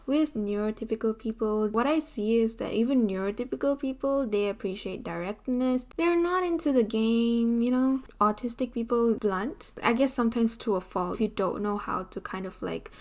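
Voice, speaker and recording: A woman in her twenties with a Malaysian accent, speaking in a slightly high voice. She chats to her camera from her chair in a loose, animated way. Her talk is full of fillers like "like" and "you know". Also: moderate pace, hardly any pauses. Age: 20s. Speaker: vlogger. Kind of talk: casual talking-head vlog. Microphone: camera mic, seated on a chair.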